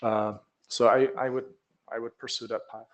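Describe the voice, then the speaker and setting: A man's voice: medium pitch, flat delivery, normal speed. Man chairing a technical meeting. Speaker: working group chair and participant. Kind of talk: moderating a technical meeting, informal remarks.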